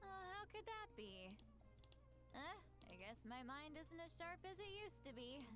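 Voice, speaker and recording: A woman voicing a self-assured, easygoing female warrior in a video game, expressive and conversational. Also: sits high, a little slow. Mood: reassuring, assured. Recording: game music behind.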